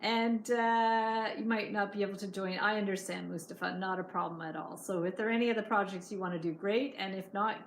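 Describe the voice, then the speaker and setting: A woman's voice: medium pitch, fairly even intonation, brisk, few pauses, a little hiss or hum. A woman hosting a casual craft livestream, chatting as she reads viewer comments, her voice starting to go. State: losing her voice.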